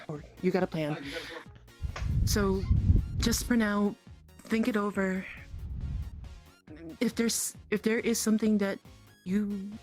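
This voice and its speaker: A woman playing a caring, flustered female dragon in an online roleplay, speaking in a halting, repetitive way with sighs. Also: medium pitch, dark tone, natural voice. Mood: gentle, worried.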